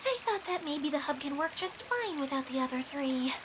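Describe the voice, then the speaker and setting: Very high, anxious girl mechanic. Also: animated, normal speed, noisy background. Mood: dejected. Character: earnest, self-doubting young female mechanic. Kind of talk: video game voice acting. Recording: faint game ambience behind.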